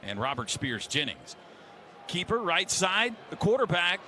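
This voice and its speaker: A man's voice: high voice, sing-song, measured pace, sharp tone. A man with an American accent calling football play-by-play on radio in clipped short phrases. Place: stadium broadcast booth. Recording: live radio sportscast with faint noise.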